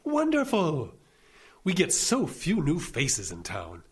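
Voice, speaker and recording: Man as older eccentric doctor, wildly animated pitch, husky edge, courtly, whimsical, genial, audio comedy. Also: slow pace, heavily acted. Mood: genial, effusive. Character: genial eccentric old doctor who takes dictation from ghosts. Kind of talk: audio comedy drama acting.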